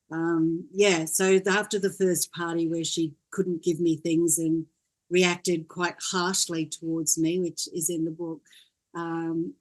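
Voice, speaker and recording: An older woman from Wales, a guest in an interview, telling her story in a gentle, reflective way. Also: low-pitched, poor audio. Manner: gentle, reflective, laughs often.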